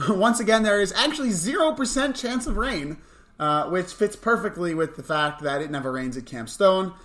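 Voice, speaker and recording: A man's voice: high-pitched, animated, normal speed, a bit bright. A young man co-hosting a camp morning show, chatting through segments in a dry, mock-serious way. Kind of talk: chatty news and mailbox segment.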